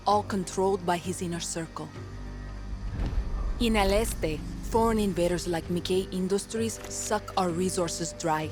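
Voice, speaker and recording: A woman plays a commanding revolutionary leader in a video game. Her mid-pitched voice is firm, earnest and purposeful, setting out orders in a measured way with music behind her. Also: natural voice. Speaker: rebel leader giving a mission briefing. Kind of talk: video game character acting.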